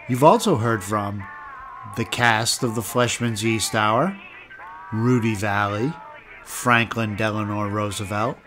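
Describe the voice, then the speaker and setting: A man's voice: medium pitch, a bit bright. A man hosting a podcast, reading scripted narration and credits. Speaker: podcast host.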